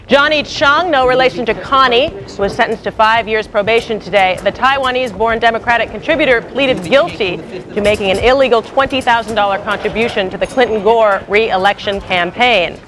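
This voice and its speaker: High-voiced American woman anchoring the news. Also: a little fast, a bit bright. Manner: wry, punchy, irreverent delivery. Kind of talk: scripted local TV news narration.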